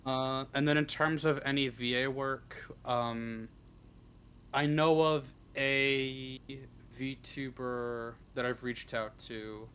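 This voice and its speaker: A man with a medium-pitched voice answers interview questions in a slow, casual way. He is laid-back and swears freely, with some faint noise behind him on the stream. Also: fairly even intonation, fairly bright tone. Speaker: interview guest, script writer.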